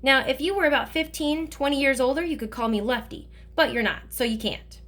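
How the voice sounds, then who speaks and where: A woman's voice: high voice, brisk, a bit bright, voice pushed up. A woman reading aloud voices a kindly, teasing older male driver who talks in a folksy, joking way.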